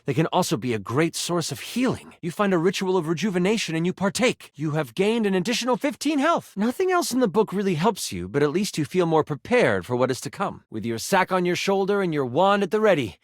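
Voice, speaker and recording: A man's voice: high-pitched, very animated, a little fast, hardly any pauses, no room sound, clean studio sound. A man narrating a fantasy adventure as a game master, in a theatrical storytelling manner addressed to the player. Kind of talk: dramatic second-person fantasy narration in a video game.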